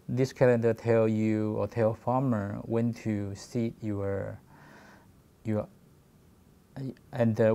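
Taiwanese guy in his 40s presenting his work, low gravelly mellow voice, lots of uhs. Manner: halting, many fillers and restarts. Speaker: guest photographer. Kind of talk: presentation talk.

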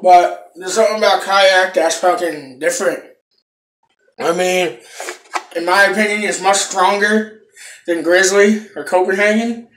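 Young dude vlogging at home, chill and cussing, kinda roomy phone sound. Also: male voice, high voice, fairly even intonation, a little slow, a bit bright. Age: young adult. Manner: laid-back, swearing, talking to viewers. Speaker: vlogger reviewing a product. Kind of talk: casual product review vlog. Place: small room indoors, at home. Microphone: phone camera close up.

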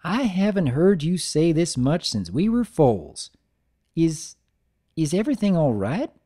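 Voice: mid-range voice, big pitch swings, unhurried, dark tone, voice pushed up. A narrator voicing a friendly, mild-mannered married stallion, a cartoon baker, chatty and earnest. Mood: puzzled.

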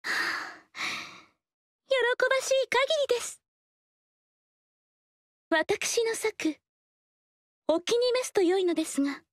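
A woman voicing a graceful, humble noble dancer in a video game, speaking softly and politely in elegant formal speech. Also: extremely high pitch, lively intonation, takes it slowly, pauses often, no room sound, studio quality, exaggerated voice. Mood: courteous. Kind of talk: game character voice lines.

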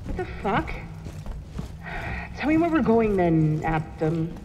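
A woman's voice: mid-range voice, very animated, slow pace. An actress playing a defiant, foul-mouthed woman agent in a horror video game, blunt and confrontational. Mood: wary. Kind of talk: video game cutscene acting. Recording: long echoing reverb, noisy game ambience.

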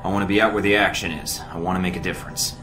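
An actor playing a brash, cocky young American secret-agent recruit in a video game, with a flippant manner. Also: male voice, low voice, steady tone, racing, close to an everyday voice. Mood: defiant. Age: young adult. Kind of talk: video game cutscene dialogue. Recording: echoey, music underneath.

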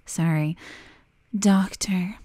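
A woman acts out a criminally insane asylum inmate for an audio roleplay. Her voice sits on the low side for a woman. She is theatrical and mocking, needling with sarcasm and showing no remorse at all. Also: fairly even intonation, drawn-out words, mellow, breathy edge, heavily acted. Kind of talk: ASMR-style dramatic monologue roleplay.

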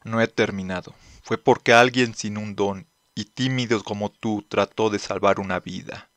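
A man voicing a grand, noble heroic mentor in an anime fan dub, with deliberate, theatrical delivery. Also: fairly low pitch, fairly even intonation, fairly bright tone, no echo, close to an everyday voice. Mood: solemn, grateful.

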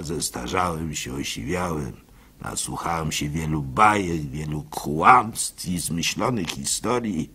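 A man performs a weary, trusting old wanderer in a radio play monologue. His low voice is gravelly and moves through wide, theatrical swings. He draws his words out slowly, like a storyteller. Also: sharp tone, heavily acted. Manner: theatrical, slow, widely swinging delivery with drawn-out words. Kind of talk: dramatic first-person monologue, radio play.

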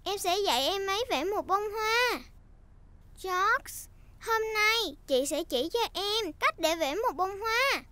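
A performer voicing a bossy, excitable little girl pig with a childlike manner in a dubbed preschool cartoon. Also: very high voice, full character voice. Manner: childlike, deliberate, instructing.

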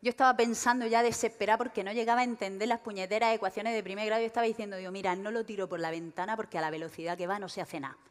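A woman in her thirties with a Murcian Spanish accent, doing a stand-up comedy monologue and mocking her subjects in quick, animated asides. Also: medium pitch. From Region of Murcia, Spain. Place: large theater stage with live audience. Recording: long reverb of a large hall.

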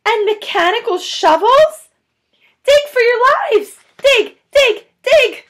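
A woman's voice: very high voice, lively intonation, takes it slowly, voice pushed up, exaggerated voice. A woman voicing a devoted father fox in a children's storybook, speaking urgently and emphatically. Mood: alarmed.

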